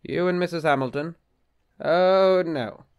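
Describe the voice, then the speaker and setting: A man's voice: fairly high pitch, a little slow, clean tone, muffled recording. Man acting a fussy, earnest bishop. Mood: annoyed. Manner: formal, apologetic, fussy. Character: flustered, earnest bishop, polite and harried husband. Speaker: actor in a drama. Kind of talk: audio drama acting.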